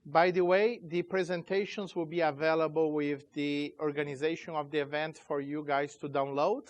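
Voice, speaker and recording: Man in his 40s, a bit high-pitched, informal conference presenter. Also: fairly even intonation. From Chile, raised in Brazil. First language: Portuguese. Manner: informal, halting, loose grammar. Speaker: conference speaker, company CEO. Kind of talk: tech conference talk. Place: conference room.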